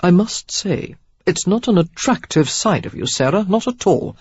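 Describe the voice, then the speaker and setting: A woman's voice: on the low side, animated, moderate pace, a little mellow, husky, no room sound, muffled recording. A woman reader voicing a defensive, sulky young man who chides his sweetheart. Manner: stiff, defensive, chiding. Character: young man, sulky, mother-bound suitor.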